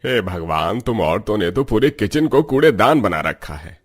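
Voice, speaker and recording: A man playing an older, exclamatory, food-loving father-in-law in a cartoon, with a gravelly voice. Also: on the low side, expressive, moderate pace. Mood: exasperated. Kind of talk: cartoon voice acting.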